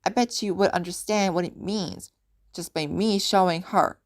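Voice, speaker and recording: A woman's voice: on the low side, moderate pace, lightly acted. A woman voicing an eager, naive and cocky young male dragon in an amateur audio story. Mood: scheming, eager.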